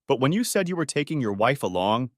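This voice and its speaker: A man acts out a sharp-tongued, flirty woman in an audio story. The delivery is cheeky and playful, with lively jumps in pitch. It is recorded dry, without room sound. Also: fast, no echo, close to an everyday voice.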